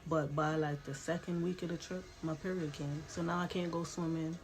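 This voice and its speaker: A woman's voice: sits low, steady tone, normal speed, soft background music. A woman in her late twenties with a stuffy, congested nose, telling her own story in a candid, chatty vlog, swearing freely and checking in with the viewer. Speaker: vlogger. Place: home room with a TV playing.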